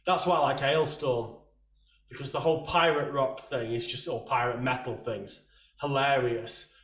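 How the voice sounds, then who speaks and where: Male host, soft voice, loose chatty delivery, roomy distant sound. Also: medium pitch, fairly even intonation, moderate pace. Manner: chatty, rambling, many restarts. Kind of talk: casual chat.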